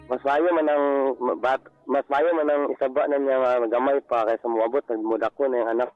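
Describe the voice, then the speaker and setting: A man's voice: mid-range voice, steady tone, dry recording. A male caller chats on a dull, dry phone line with faint music behind him. His Cebuano Filipino accent comes through as he talks casually, stopping and repeating words. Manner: halting, repeating himself. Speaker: radio show caller. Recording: dull lo-fi phone call on radio.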